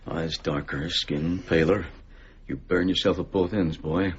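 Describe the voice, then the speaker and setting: Radio drama: melancholy older dad, deep voice, muffled, faintly noisy recording. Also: male voice, steady tone, measured pace. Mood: fond, musing. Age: middle-aged. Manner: musing, melancholy. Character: wistful, bookish ageing father, weary and tender.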